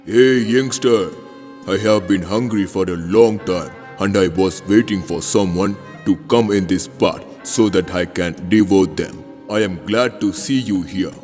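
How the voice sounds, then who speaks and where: A man's voice: low-pitched, darkish tone. An actor voicing a huge, hungry, menacing man-eating demon in a moral story. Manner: slow, menacing delivery. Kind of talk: animated storybook acting. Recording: long reverb, music behind.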